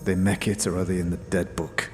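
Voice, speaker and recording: An actor playing a crude, bitter, boastful dead thief, a talking corpse with gruff, grumbling, slangy speech, in a fantasy audio drama. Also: male voice, deep voice, little pitch movement, brisk, slight background noise, close to an everyday voice. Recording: long reverberant echo, music underneath.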